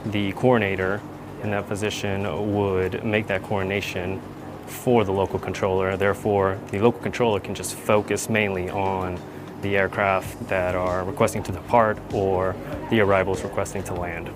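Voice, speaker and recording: A man narrating an explanatory tour as an air traffic controller, in a measured, semi-scripted way. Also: low-pitched, flat delivery, over music.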